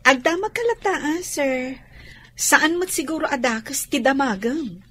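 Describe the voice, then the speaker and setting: A young woman acting in an Ilocano radio drama as a dutiful, guarded and deferential employee, with a husky voice. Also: high-pitched, animated, unhurried, a bit bright. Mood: shy, deferential.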